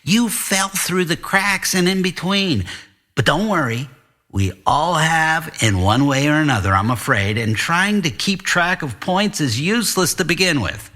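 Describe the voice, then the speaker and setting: A man's voice: fairly high pitch, expressive, measured pace, sharp tone, pitched above the performer's own voice. A man voicing a genial, evasive school counselor who talks in a leisurely, mannered, circling way in an audiobook story. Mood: cryptic, reassuring. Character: genial, evasive male school counselor, self-styled concierge. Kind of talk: audiobook character dialogue.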